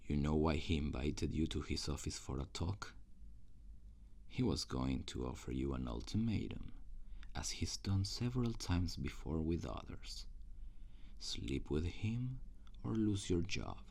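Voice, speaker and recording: A man voicing a jaded, cruel demon guardian in an ASMR roleplay, with a dry, intimate, sardonic manner and a gravelly voice. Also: very animated, measured pace, a little mellow, exaggerated voice. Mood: smug, boasting. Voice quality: very deep, gravelly.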